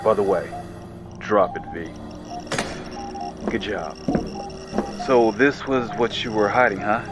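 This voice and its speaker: An actor playing a ruthless, pragmatic enforcer in a sci-fi horror audio drama, wry and coldly mocking. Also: male voice, fairly low pitch, takes it slowly, loud music behind the voice. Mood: smug.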